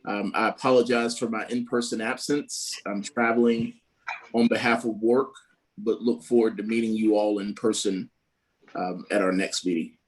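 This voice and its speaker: A man introducing himself as a new board member over a video call, in a friendly, brisk way. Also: fairly low pitch, steady tone, poor audio. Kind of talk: brief self-introduction.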